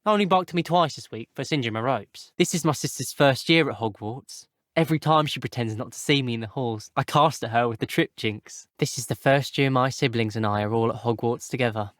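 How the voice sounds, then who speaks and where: Man playing a teenage wizard pupil, British accent, medium pitch, polite, chatty, wryly earnest, video game dialogue, dry studio sound. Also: a little fast, no echo, close to an everyday voice. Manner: well-mannered, old-fashioned British phrasing. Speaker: voice actor. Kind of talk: scripted video game character voice clips.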